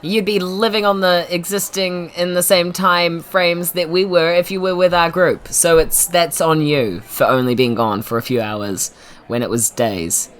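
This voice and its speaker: A woman with a New Zealand accent, a player at a tabletop game, chatting casually with jokes, laughs and quick asides. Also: on the low side, steady tone.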